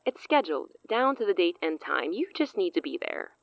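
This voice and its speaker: An actress playing a cunning, self-assured sorceress in an audio drama, speaking in a theatrical, silky, condescending way. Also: female voice, fairly low pitch, very animated, brisk, creaky, dry recording, dull audio. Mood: poised, persuasive. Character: cunning, self-assured woman sorceress offering a dangerous pact.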